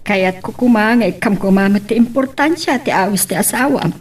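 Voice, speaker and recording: A woman's voice: medium pitch, brisk, husky, natural voice. A woman playing an older mother urging her grown son in an Ilocano drama, with an Ilocano accent. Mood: gently urging. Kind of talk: Ilocano radio-style serial drama acting.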